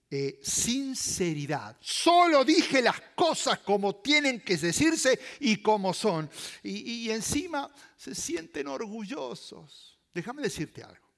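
A male preacher with a Latin American Spanish accent delivers his sermon slowly in a high voice. His pitch moves widely as he goes from forceful loud stresses down to hushed phrases. He is deliberate and repeats his main points for emphasis. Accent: Latin American Spanish with voseo. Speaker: pastor preaching.

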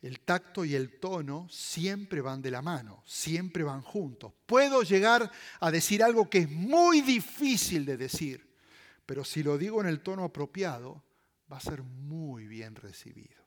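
A male preacher with a Latin American Spanish accent delivers his sermon slowly in a high voice. His pitch moves widely as he goes from forceful loud stresses down to hushed phrases. He is deliberate and repeats his main points for emphasis. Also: animated. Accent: Latin American Spanish with voseo. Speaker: pastor preaching.

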